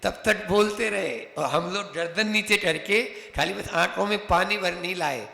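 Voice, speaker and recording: Slow, echoing male monk lecturing. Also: high voice, vocal fry, sharp tone. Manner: storytelling, chants verses slowly. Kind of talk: sermon lecture with anecdotes. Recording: echoey hall reverb.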